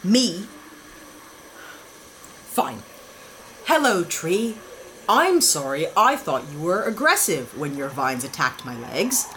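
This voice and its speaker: An actress playing a sarcastic young heroine in an audio drama. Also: female voice, lowish voice, big pitch swings, takes it slowly, pauses often, fairly bright tone, slight background noise, heavily acted, rough recording. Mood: exasperated. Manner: sarcastic, exasperated. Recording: studio drama with music bed.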